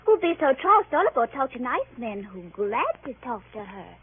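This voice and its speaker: A tinny old radio recording of a young coquette. She is fairly high and husky, cooing and pouting in coy baby talk with big swoops. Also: sing-song, moderate pace, heavily acted. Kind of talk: 1930s radio comedy acting. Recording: tinny, narrow-band old radio broadcast.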